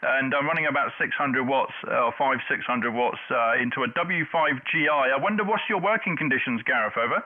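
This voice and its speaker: A man's voice: mid-range voice, a little fast, few pauses. A middle-aged man running an amateur radio net, chatting in call signs and signal reports. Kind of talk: radio contact chat in call-sign jargon. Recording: narrow, phone-like dull audio.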